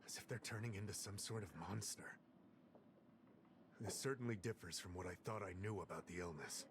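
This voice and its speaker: An actor playing a polite, earnest male civil servant in a fantasy video game, speaking in a formal, measured way. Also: deep voice, background noise, natural voice. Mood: grave, concerned. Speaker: game character, government official. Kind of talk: scripted video game dialogue. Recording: game music behind the voice.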